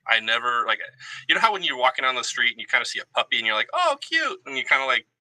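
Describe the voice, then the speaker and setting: A man's voice: mid-range voice, big pitch swings, rapid-fire, sharp tone, no echo, rough recording. A man in his forties talking casually and reflectively as a guest, with a self-deprecating, joking manner. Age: 40s. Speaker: guest, Army veteran.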